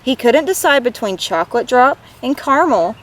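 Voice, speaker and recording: A woman reading a storybook aloud to children in an expressive, gentle way, as a read-aloud host. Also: fairly high pitch, normal speed.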